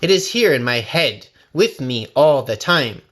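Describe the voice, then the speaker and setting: A man's voice: mid-range voice, sing-song, a little slow, fairly bright tone. Man playing a shrewd, polite storybook farmer. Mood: triumphant. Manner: deferential, explanatory. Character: small male farmer, wary, polite and shrewd. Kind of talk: storybook character voice.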